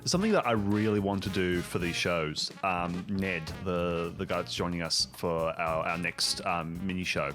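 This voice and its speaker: A man's voice: low voice, moderate pace, few pauses, a bit bright, soft background music. A man chatting casually and jokily as he hosts a podcast with friends. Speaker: show host and producer.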